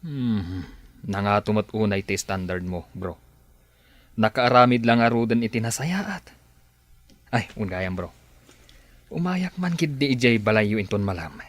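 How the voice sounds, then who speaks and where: A man's voice: fairly low pitch, animated, unhurried, pauses often, no echo. A man acting a persuasive, scheming boss in an Ilocano radio drama, with an Ilocano Filipino accent. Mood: persuasive, casual.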